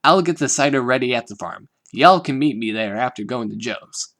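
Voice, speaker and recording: A man's voice: mid-range voice, lively intonation, moderate pace, fairly bright tone. A friendly teenage farm girl, put on by a man for a fan reading. She talks in an easy, folksy drawl.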